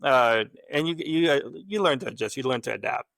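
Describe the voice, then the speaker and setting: A man's voice: mid-range voice, a little fast, creaky, a bit bright, dry recording, studio quality. A man from the Philippines with a Filipino accent, a guest chatting casually and rambling with restarts. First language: Tagalog. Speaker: guest, lab scientist. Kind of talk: casual conversation.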